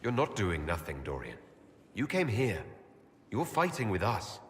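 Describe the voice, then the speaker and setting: A man's voice: fairly low pitch, huge pitch swings, unhurried. A man playing a supportive, plain-spoken adventurer hero in video game dialogue. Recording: long reverb, clear background ambience noise.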